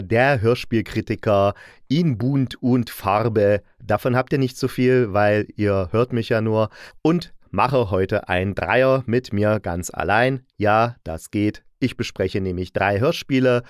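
A man's voice: fairly low pitch, slow, no echo. A man hosting a chatty review podcast in a jokey, self-ironic manner, close to the microphone. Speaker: podcast host and audio drama critic.

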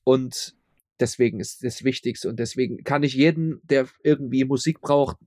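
A man's voice: mid-range voice, normal speed, mellow, dry recording, clean studio sound. A man speaking as a guest in a casual interview, rambling and often repeating his words as he explains his audio drama work.

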